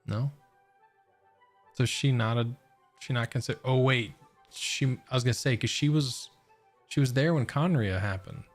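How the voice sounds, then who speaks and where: Guy streaming a game, kinda low creaky voice, rambles n thinks out loud, bit of music in back. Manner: rambling, halting, thinking aloud. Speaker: game livestreamer. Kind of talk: casual live reaction and chat.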